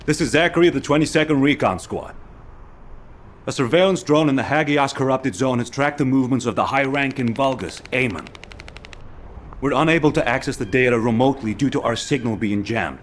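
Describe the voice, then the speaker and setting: A man's voice: mid-range voice, close to an everyday voice. A man voicing a dutiful recon soldier in a video game, delivering a formal, urgent military report. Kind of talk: video game character acting.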